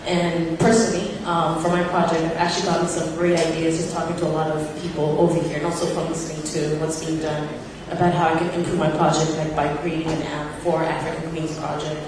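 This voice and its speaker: Woman from tanzania, informal panel talk, lowish flat voice, super echoey. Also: fairly quick, few pauses. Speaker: conference panel participant. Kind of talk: informal unscripted discussion remarks. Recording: roomy, distant mic, noisy hall.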